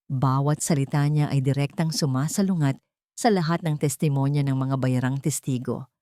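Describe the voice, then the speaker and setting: A woman narrating a scripted dramatic story with deliberate, melodramatic phrasing. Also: a bass voice, dark tone, no room sound, clean studio sound.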